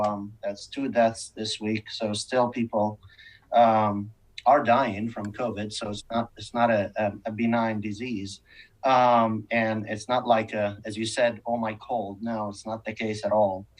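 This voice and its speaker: A man reporting as a hospital chief of staff, informal and halting, picked up by a distant microphone. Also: on the low side.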